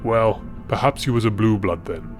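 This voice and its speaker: A smug aristocratic wizard, acted by a male narrator, speaking low with quiet menace. He savours each mocking word in a fantasy storybook, with music behind. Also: normal speed, lightly acted. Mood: smug, amused. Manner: mocking, savouring his words.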